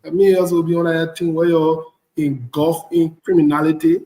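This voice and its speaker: A man's voice: fairly high pitch, level tone, moderate pace, mellow. A man from the Ivory Coast with a West African accent, delivering a blunt, indignant, unscripted political rant and repeating phrases for emphasis. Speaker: online political commentator. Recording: echoey room.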